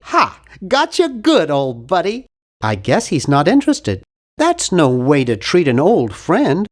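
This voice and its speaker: Nerdy young guy with swooping pitch. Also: huge pitch swings, a little slow, heavily acted. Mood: wry, chatty. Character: nerdy, earnest, awkward young man, adventure game hero. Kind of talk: scripted video game voice lines.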